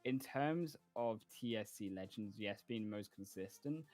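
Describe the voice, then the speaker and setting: A man's voice: fairly low pitch, expressive, moderate pace, darkish tone, no echo, soft background music. A man casting esports, analyzing teams fluently and confidently and closing the broadcast. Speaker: esports caster.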